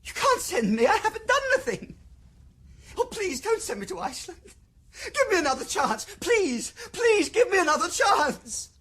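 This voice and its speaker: Shrill, sobbing exile. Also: male voice, very animated, moderate pace, bright, forceful tone, full character voice. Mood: desperate pleading. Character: panicked young man begging not to be exiled. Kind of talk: 1990s radio drama.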